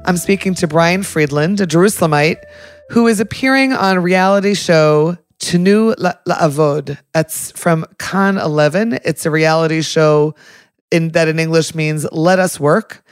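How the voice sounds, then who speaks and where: A woman hosting a weekly news podcast, asking measured, friendly questions. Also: sits low, clear, resonant tone, no echo, studio quality, faint music behind. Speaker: podcast host. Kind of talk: interview.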